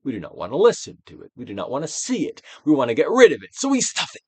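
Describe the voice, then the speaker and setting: Guy doing a theatrical audiobook read of self-help stuff, super animated pitch, big pauses, goes hushed then loud, dry recording. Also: husky, dry recording. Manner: theatrical, emphatic, swinging from hushed near-whispers to loud projection. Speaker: audiobook reader, actor. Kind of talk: dramatic reading of a self-help book.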